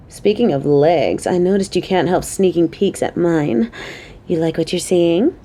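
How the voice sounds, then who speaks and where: Woman acting a playful, motherly coworker with mellow voice. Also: mid-range voice.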